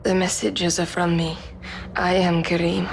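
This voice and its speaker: A woman playing a defiant captive resistance leader in a video game, speaking tersely with a creaky voice. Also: lowish voice, flat delivery, a little slow, background noise. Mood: strained resolve. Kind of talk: dramatic video game cutscene acting. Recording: music behind the voice.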